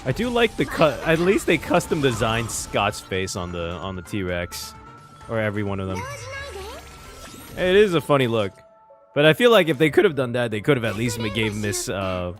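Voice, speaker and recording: A man's voice: fairly low pitch, sing-song, normal speed. A man giving casual reaction commentary while playing a video game. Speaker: reaction streamer. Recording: music behind the voice. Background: game music.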